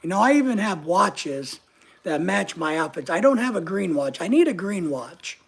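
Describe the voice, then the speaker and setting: A middle-aged man with a voice high enough that strangers take it for a woman's. He chats loosely with viewers, joking at his own expense. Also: big pitch swings, moderate pace, creaky, husky. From United States. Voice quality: high voice often mistaken for a woman's. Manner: chatty, joking, self-mocking. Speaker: channel host reading live chat. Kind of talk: casual livestream chat.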